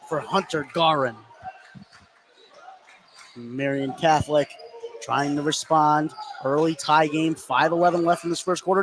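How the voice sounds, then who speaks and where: A man giving live play-by-play radio commentary of a high school basketball game, energetic and lifting with the action. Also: rough recording.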